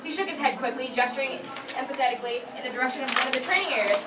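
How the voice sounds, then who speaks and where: Young female narrator, high voice, reading prose live at a panel, noisy distant recording. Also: racing. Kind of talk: scripted narration, fan fiction read aloud. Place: convention panel room. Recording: echoey noisy room, far from mic.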